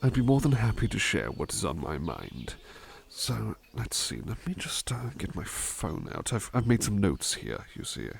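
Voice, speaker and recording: A man playing a devoted, polite butler in an ASMR roleplay, speaking tenderly and hesitantly, with soft reassurance. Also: lowish voice, very animated, normal speed, gravelly. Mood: attentive, courteous. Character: devoted, gentle, polite male butler companion.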